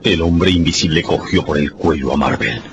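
A man's voice: rumbling low, normal speed, muffled recording, noisy background. A man giving dramatic scripted narration of a radio story.

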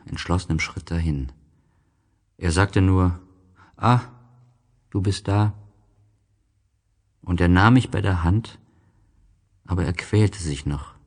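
A man narrating an audio drama slowly and softly, in a measured, sorrowful manner. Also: low-pitched. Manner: slow, soft, sorrowful, measured.

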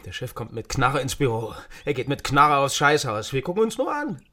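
An actor playing a bitter, agitated East German agent in a radio drama, volatile and fiercely loyal, ranting and repeating himself. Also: male voice, mid-range voice, very animated, normal speed, pushed and bright. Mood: agitated, despairing. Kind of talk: naturalistic radio drama acting.

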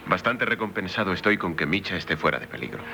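An actor in a 1970s radio drama playing an earnest, modest and considerate gentleman. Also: low voice, animated, fast talker, gravelly, a little hiss or hum, heavily acted. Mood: modest, caring.

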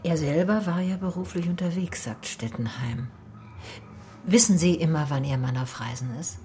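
Casting: actress as female private eye for radio crime drama, low voice, measured, wry, probing. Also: mellow, faint music behind, lightly acted. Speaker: lead actress and narrator. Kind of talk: first-person radio detective drama.

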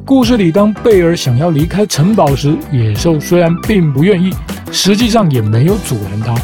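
A man hosting a podcast, calmly narrating a scripted explanation in a measured storytelling manner. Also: fairly high pitch. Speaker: podcast host. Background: background music.

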